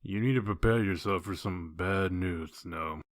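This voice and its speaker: Man playing a low, gruff, blunt detective. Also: a little slow, dry recording, studio quality. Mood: grave, businesslike. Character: gruff, blunt male wolf detective, grimly matter-of-fact. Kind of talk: comic dub voice acting.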